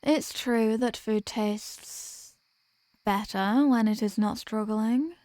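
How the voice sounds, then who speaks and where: Slow, possessive vampire mistress voiced by a woman. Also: on the high side, mellow, dry recording. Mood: teasing, seductive. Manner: slow, teasing, condescending, formal phrasing. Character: possessive, dominant female vampire toying with captive prey. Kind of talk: ASMR roleplay, scripted intimate monologue.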